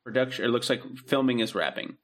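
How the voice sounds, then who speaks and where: A man's voice: medium pitch, fast. A young man co-hosting a podcast, chatting in a laid-back, rambling way with plenty of ums. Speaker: podcast co-host. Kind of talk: casual chat.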